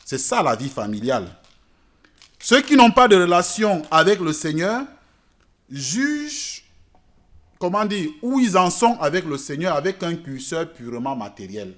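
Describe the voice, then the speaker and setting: A man preaching a church sermon in French, which is not his native language, swinging between loud emphatic peaks and quiet asides. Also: fairly high pitch, sing-song, a little slow, long pauses. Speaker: pastor. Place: church service.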